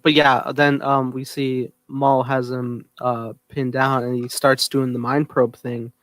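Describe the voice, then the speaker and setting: A man's voice: medium pitch, little pitch movement, unhurried, a bit bright, no room sound. A man co-hosting a podcast, casually recapping an episode. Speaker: podcast co-host.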